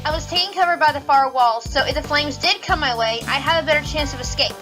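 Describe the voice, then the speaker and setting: A woman's voice: sits high, fast, soft background music, close to an everyday voice. A woman playing a young, earnest rabbit police officer, formal and assertive, in a courtroom audio drama. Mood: assured, explanatory. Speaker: voice actor in a fan audio drama.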